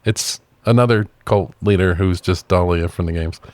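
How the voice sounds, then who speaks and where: A man's voice: sits low, normal speed, a little mellow, no room sound. A man co-hosting a casual film review chat, talking informally and swearing freely. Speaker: podcast co-host reviewing films.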